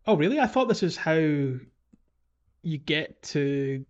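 A man's voice: a little high, sing-song, takes it slowly, highs cut off. A man co-hosting a podcast in casual game chat, often hesitating and repeating words as he thinks aloud. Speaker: podcast co-host.

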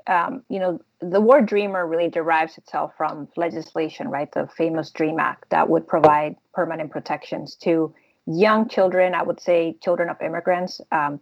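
A woman's voice: sits low, fairly even intonation, moderate pace, creaky, no room sound, dull audio. A middle-aged woman from Honduras, a guest advocate answering interview questions earnestly and thoughtfully, with frequent fillers and restarts. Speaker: guest, immigrant rights advocate. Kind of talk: interview conversation.

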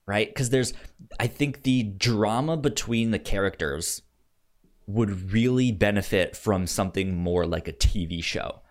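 A man co-hosting a film podcast in casual chat, haltingly repeating words as he thinks. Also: lowish voice.

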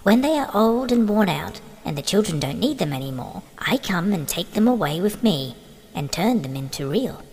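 Kind, gentle fairy lady, played by a man, soft and breathy, over light music. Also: high voice, very animated, normal speed, voice pushed up, full character voice, low-quality recording. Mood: tender. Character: gentle female nursery magic fairy, kind and tender. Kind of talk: storybook reading.

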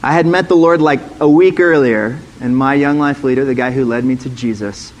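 A man's voice: mid-range voice, brisk, slight background noise. A middle-aged man, a former pastor, giving a sermon as a guest speaker, teaching in a conversational way and putting questions to the room.

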